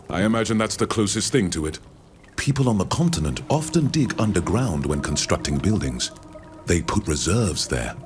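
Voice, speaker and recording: A very low-pitched, learned gentleman scholar speaks with formal courtesy and careful wording. His intonation moves freely, as he explains things like a well-read visitor from abroad in a fantasy game. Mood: intrigued. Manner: measured, formal, precise wording. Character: courteous, learned male foreign scholar from the continent. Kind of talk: video game dialogue acting.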